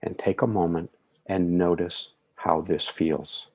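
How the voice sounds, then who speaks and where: A man leading a guided meditation in a soothing, measured way, with calm phrasing and gentle affirmations. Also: deep voice.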